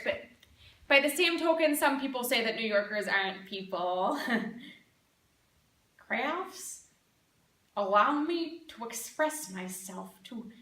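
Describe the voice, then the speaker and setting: A woman performs a middle-aged crafts lady from a small town, earnest and perky in a stage monologue. Her voice is high and sweeps widely up and down, with theatrical pauses. It is heard from a distance in an echoey room. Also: pushed and bright, exaggerated voice. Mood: cheery, wry. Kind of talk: competitive speech monologue, dramatic interpretation.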